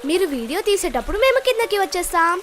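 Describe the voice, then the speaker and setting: Very high, bubbly cartoon child. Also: female voice, sing-song, quick pace, music in the background. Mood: excited. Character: eager, cheerful little child in a cartoon. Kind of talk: children's cartoon story dialogue.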